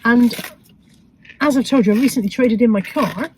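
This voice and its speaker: A woman with a cold, sounding croaky and sniffly, chatting casually to viewers about her shopping with self-mocking asides. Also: fairly high pitch, moderate pace, a little mellow, a little hiss or hum, poor audio. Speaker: vlogger. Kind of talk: chatty shopping haul vlog.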